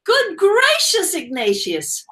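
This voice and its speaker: A doting young child's mother from a picture book, acted out by a woman reader, very high and dramatic. She draws her exclamations out slowly, swinging widely in pitch and easily appalled. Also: wildly swooping pitch, pushed and bright, heavily acted. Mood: amazed.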